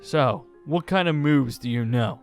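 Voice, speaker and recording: A man's voice: medium pitch, unhurried, clear, resonant tone, close to an everyday voice. A man playing a curious, easily impressed young gunslinger in an improvised Minecraft roleplay, talking casually and full of questions. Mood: impressed, incredulous. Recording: background music under the voice.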